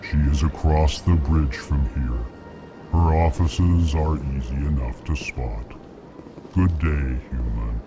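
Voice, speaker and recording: Game role: solemn alien diplomat, extremely low voice, very slow monotone delivery, formal, music behind. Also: male voice. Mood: solemn, aggrieved. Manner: monotone, announces own emotion before sentences. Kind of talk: video game dialogue acting.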